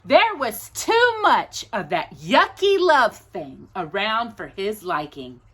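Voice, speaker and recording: A woman hosting a children's storytime, reading a picture book with playful, drawn-out emphasis and chatty asides to a companion. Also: mid-range voice, sing-song, bright, forceful tone, breathy edge. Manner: addresses a companion directly while reading.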